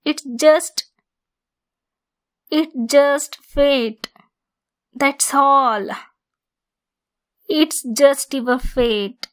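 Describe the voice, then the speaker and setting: Yandere centaur played by a woman for ASMR roleplay: female, high voice, unhurried, clingy and domineering. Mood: insistent, possessive. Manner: slow, deliberate, insistent, clingy.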